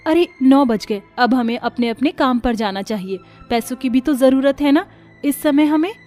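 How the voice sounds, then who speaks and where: Woman acting poor village wife, high voice. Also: expressive, moderate pace, warm tone, faint music behind. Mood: content, brisk. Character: poor, anxious but practical village wife. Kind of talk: dramatized storybook dialogue.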